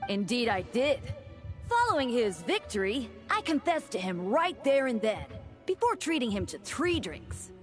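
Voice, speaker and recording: A woman's voice: a little high, very animated, moderate pace, music in the background. A woman playing a devout, polite young male deacon with an impish streak in a video game, speaking formally and earnestly. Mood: playfully earnest. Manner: formal, courteous, playfully earnest. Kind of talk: scripted video game dialogue.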